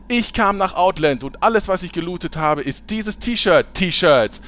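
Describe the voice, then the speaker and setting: A man's voice: high voice, lively intonation, a little slow. A man doing a pushy guild souvenir huckster. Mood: hawking. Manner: loud huckster sales patter. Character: pushy male guild merchant hawking souvenirs. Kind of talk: amateur radio comedy acting.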